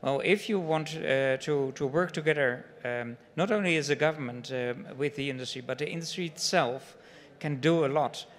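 Halting dutch man in a reverberant hall. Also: fairly high pitch. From Netherlands. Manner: halting, many fillers. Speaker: panelist. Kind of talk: panel discussion.

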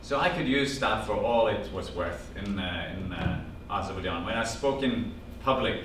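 A man's voice: lowish voice, normal speed. A man in his fifties with a Norwegian accent, animatedly telling a personal story to a small audience and quoting family conversations. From Norway. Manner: animated storyteller, quotes family dialogue, gestures while talking. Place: classroom-sized meeting room with projector screen. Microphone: far camera, no visible mic. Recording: echoey room from far off, background hum.

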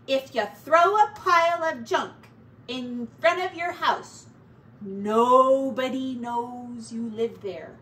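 A woman's voice: high-pitched, slow pace, fairly bright tone. A woman voicing a hapless, chatty male pack rat from a children's picture book, in a folksy, exclamatory way. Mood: explaining. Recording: roomy, distant mic, faint noise.

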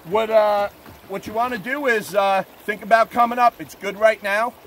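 A man in his forties giving a relaxed, plainspoken fishing report from a drift boat on a river. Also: high-pitched. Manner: relaxed, plainspoken, filler-heavy. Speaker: fishing guide. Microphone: camera mounted on the boat at arm's length. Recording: faint water and outdoor noise. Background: rushing river water.

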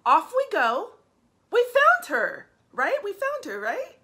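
A woman's voice: high-pitched, wildly swooping pitch, takes it slowly, sharp tone, voice pushed up, full character voice. A woman voicing a helpful, reassuring butterfly in a children's storybook, eager and encouraging in a sing-song way. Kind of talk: children's storybook read-aloud.

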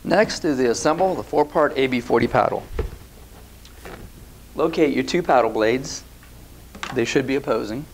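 A man in his thirties talks through a setup step by step, calm and steady. His voice has a gravelly edge at a middle pitch. There is a faint hiss under the lapel mic. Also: moderate pace, pauses often. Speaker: product demonstrator. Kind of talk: scripted instructional voice-over.

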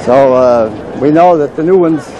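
An older man who builds wind turbines, calmly explaining how they work to people at an outdoor fair, with plenty of uh's. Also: mid-range voice, a little slow, dull audio. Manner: plain, matter-of-fact explaining with frequent uh. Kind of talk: explaining to fairgoers. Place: outdoor fairground. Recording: noisy outdoor camcorder audio.

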